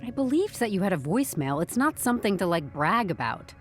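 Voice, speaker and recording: An actress playing an eager, quick-witted amateur office investigator in an audio drama, earnest and wry. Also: female voice, mid-range voice, big pitch swings, soft background music, close to an everyday voice.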